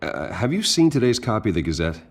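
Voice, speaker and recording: An actor playing a stern, guarded American billionaire vigilante in a video game, speaking in a serious, clipped way. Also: male voice, on the low side, sing-song, fast talker, slight background noise. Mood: businesslike.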